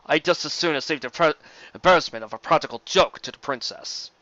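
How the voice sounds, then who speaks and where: A man voicing a male pony guard officer, authoritative and deadpan, in an audiobook story. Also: on the high side, brisk, no room sound, dull audio, pitched above the performer's own voice.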